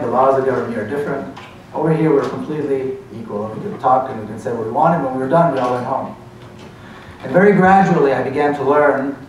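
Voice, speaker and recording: A man's voice: mid-range voice, recorded from a distance, slight background noise. Israeli man lecturing in an echoey hall. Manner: earnest, storytelling. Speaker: speaker. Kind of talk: university lecture.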